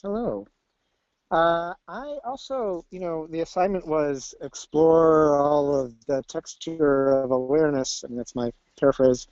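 A man's voice: on the high side, a little mellow, no echo. Hesitant man on a slightly dull phone line. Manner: hesitant, with long searching pauses. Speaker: caller. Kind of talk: reflective casual sharing. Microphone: telephone.

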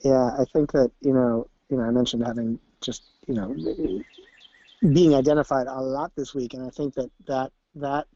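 A man calling in from outdoors, sharing casually and reflectively in a halting way with repeated words. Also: mid-range voice, flat delivery, mellow, no room sound. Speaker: caller to a group call. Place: outdoors in nature. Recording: dull phone line. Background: birdsong.

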